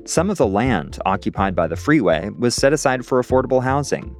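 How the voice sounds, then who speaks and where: A man's voice: mid-range voice, sing-song, fairly quick, soft background music. A male reporter narrating a scripted radio feature, partly recorded outdoors in a city park.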